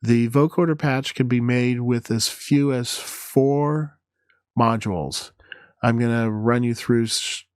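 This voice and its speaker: A man presents a technical tutorial at a medium pitch, explaining each step methodically. His delivery is halting and instructional, and the sound is dry and close, with very little room to it. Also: dry recording. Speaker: demo presenter. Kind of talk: instructional software demo narration.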